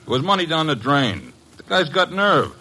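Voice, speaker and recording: A man's voice: on the high side, big pitch swings, normal speed, pushed and bright, slightly rough, exaggerated voice, low-quality recording. An actor with an American accent playing a hot-tempered, slangy tough guy in an old-time radio crime drama, blunt and streetwise. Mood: scornful.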